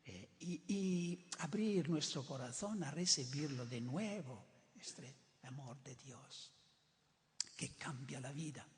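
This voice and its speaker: A man's voice: medium pitch, takes it slowly, a little hiss or hum. An older man who is not a native Spanish speaker, preaching a sermon in an emphatic way, often repeating and restarting words. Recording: reverberant hall.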